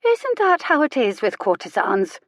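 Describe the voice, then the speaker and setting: A woman's voice: medium pitch, sing-song, fairly quick, no room sound. A woman voicing a prim society matron in an audiobook romance, discreet and confiding as she defers to the vicar.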